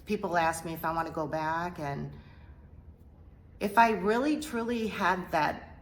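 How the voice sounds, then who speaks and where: A middle-aged woman from Korea telling her life story as an interview guest, speaking hesitantly with many pauses and fillers. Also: on the low side. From South Korea. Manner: hesitant, halting, soft-spoken with many fillers. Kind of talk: personal testimony interview.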